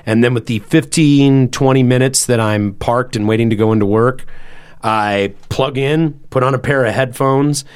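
A man in his thirties with a loud, carrying voice, co-hosting a podcast and chatting casually with lots of "you know" and "like". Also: mid-range voice, moderate pace, clean tone. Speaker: podcast host and band member.

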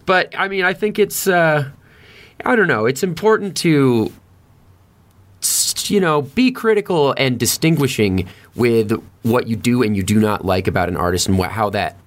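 A man's voice: medium pitch, expressive, normal speed. Rambling, sweary man in casual podcast chat. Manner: heavy swearing, rambling, hesitant phrasing.

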